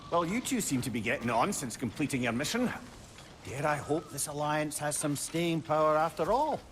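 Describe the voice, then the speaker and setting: Old game sage, wry, wordy, animated intonation, faint background noise. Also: male voice, a little high, moderate pace. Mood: wry, probing. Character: witty, talkative old sage, loyal companion. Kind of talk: video game character acting.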